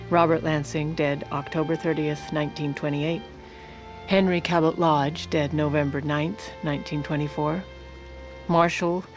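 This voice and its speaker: An actress playing a proud, sardonic aging former First Lady in an audio drama, wry and haughty with formal wording. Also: sits low. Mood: somber, bitter. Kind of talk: audio fiction drama, first-person memoir narration and dialogue.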